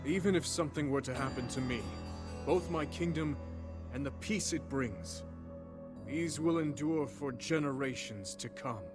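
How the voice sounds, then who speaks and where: An actor voicing a calm, wise king in a game cutscene, speaking in a measured, regal way. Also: a little high, lightly acted. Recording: game cutscene over music.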